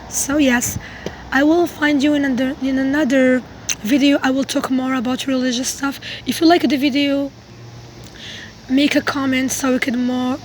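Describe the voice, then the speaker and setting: A woman who is not a native English speaker, giving an informal explanatory talk to viewers in a halting, self-correcting way. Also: sits high, a little hiss or hum, rough recording. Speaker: video creator giving a religious talk.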